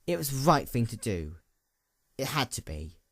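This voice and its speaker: A man's voice: mid-range voice, sing-song, normal speed, a bit bright, no echo. A man voicing an embittered, hollowed-out female pony in a dramatic story, brooding and intense. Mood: subdued, resolute.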